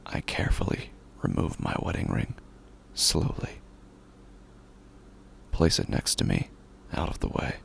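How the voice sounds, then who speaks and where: An actor playing a haunted, grieving, quietly unhinged husband who narrates a horror audio drama in halting fragments with a breathy, gravelly whisper. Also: male voice, extremely low pitch, sing-song, full character voice. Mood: hushed, resolute. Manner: halting, fragmented, drawn-out phrases.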